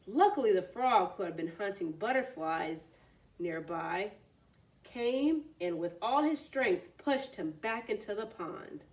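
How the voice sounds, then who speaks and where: A woman storyteller reads aloud for children with a very lively, swinging intonation. She mixes narration with simple explanatory asides. Her voice is a little distant from the mic. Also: medium pitch, slow pace.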